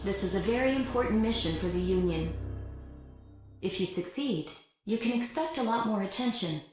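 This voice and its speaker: An actress playing a composed military officer in a game, delivering mission briefings in a formal, measured manner. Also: female voice, mid-range voice, expressive, close to an everyday voice. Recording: very echoey, music behind.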